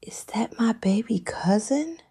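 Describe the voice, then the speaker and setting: A woman voicing a frail, bedridden woman who murmurs slowly and dreamily, wistful and tender, in an audio story. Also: a little high, lively intonation, warm tone, slightly rough, voice pushed up, exaggerated voice. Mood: tender surprise.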